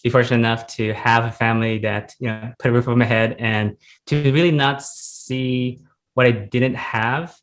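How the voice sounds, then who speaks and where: A man from the United States, a guest on a podcast, speaking reflectively with frequent pauses and fillers. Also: mid-range voice, fairly even intonation. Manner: thoughtful, many "um"s and "uh"s. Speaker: podcast guest, real estate investor. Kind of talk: reflective interview talk.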